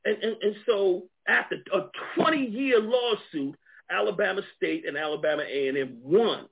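An earnest man with a New York accent talks in a high, animated voice with a slight rasp. He stumbles into restarts as he works through his points. The sound is thin and tinny, like a phone line. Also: moderate pace. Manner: earnest, many repeated restarts. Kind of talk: animated discussion on a call.

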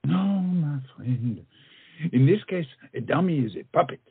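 An actor playing a witty French friend and mentor in a stage comedy, speaking in a flowery, coaxing, theatrical way. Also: male voice, fairly high pitch, animated, a little slow, vocal fry, breathy edge, dry recording, phone-quality sound, exaggerated voice. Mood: scheming, confident.

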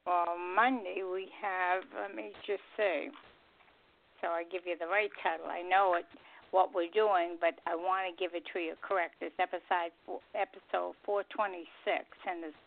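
A woman's voice: fairly low pitch, steady tone, moderate pace, vocal fry. An older woman chatting casually as a panelist on a radio show. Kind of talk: casual chat. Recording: narrow phone-like line.

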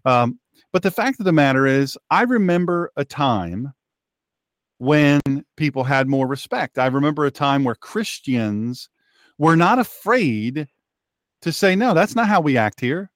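A man in his fifties hosts an opinionated talk radio show. His voice sits a little high for a man, and the sound is very dry. He tends to halt and repeat words to drive a point home. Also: animated, a little slow, no room sound. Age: 50s.

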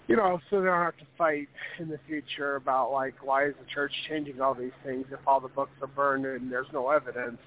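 A man calling in to a religious talk program, talking in a rambling, animated way full of "you know" and "like.". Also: a little high. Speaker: caller to a religious call-in program. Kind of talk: casual call-in conversation. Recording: phone line with faint background noise.

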